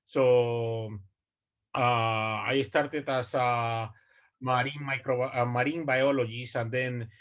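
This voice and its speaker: A man's voice: medium pitch, steady tone, slow pace, fairly bright tone. Hesitant man presenting. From Ecuador. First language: Spanish. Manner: hesitant, many fillers and restarts. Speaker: university researcher giving a talk. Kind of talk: online presentation. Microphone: video call.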